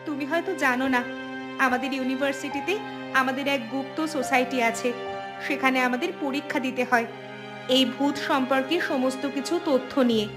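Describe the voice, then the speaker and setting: A woman's voice: high voice, lightly acted. A woman voicing a defiant young student, a village daughter, in a cartoon story. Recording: clear music under the voice.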